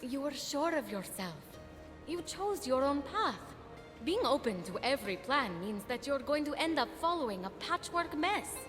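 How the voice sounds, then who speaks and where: A confident, stubborn young heroine in a video game. Her voice is somewhat high. She speaks earnestly and persuasively, with some music underneath. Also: big pitch swings, normal speed, a bit bright. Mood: encouraging. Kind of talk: video game cutscene acting. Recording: music behind the voice.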